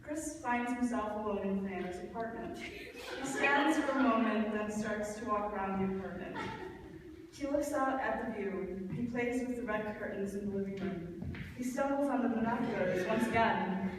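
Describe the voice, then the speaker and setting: A young woman reading stage directions as narrator of a live, impromptu play reading, a little halting with corrections. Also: medium pitch. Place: large reverberant room at a live reading event. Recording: echoey hall from far off, noisy.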